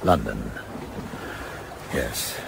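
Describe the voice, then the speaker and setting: A man's voice: very deep voice, lively intonation, drawn-out words, creaky, exaggerated voice. An actor in a radio play playing an exposed middle-aged traitor, a father who blusters with old-fashioned curses and falters when cornered. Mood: subdued, resigned. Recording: faint background noise.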